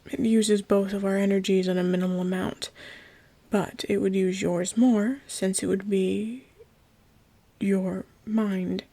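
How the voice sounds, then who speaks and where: A woman playing a gentle, patient trapped god in an ASMR roleplay, speaking in a breathy, often whispered voice with careful reassurance. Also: mid-range voice, flat delivery. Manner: slow, careful, reassuring explaining. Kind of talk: ASMR roleplay, scripted intimate monologue.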